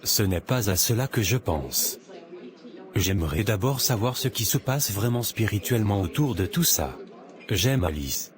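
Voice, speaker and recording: A man's voice: lowish voice, animated, a little slow, a crowd in the background. A man voicing a devout, steadfast young suitor who speaks earnestly in a cartoon. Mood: troubled.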